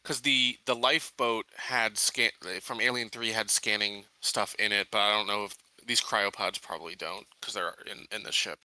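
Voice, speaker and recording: A man casually roleplaying in a tabletop game in his own voice, haltingly thinking aloud. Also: fairly low pitch, steady tone, pushed and bright, no room sound, rough recording.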